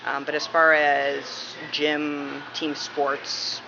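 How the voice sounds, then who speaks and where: Creaky-voiced woman in a muffled interview. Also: deep voice, monotone, very slow. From Massachusetts, United States. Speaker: interviewee, police dispatcher. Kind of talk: casual interview answers. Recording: muffled, clearly noisy room recording.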